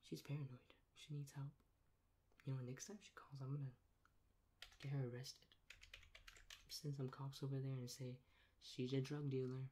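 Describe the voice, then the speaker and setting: A young man in a soft-spoken ASMR role play as a rude emergency dispatcher, talking in a deadpan, sarcastic and dismissive way. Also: medium pitch, moderate pace, long pauses. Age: young adult. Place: small plain room indoors. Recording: clear background noise, keyboard clicks.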